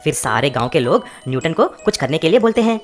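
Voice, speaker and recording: Woman narrator, kids' story, low voice, lively, music behind. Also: fast talker. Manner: expressive storytelling.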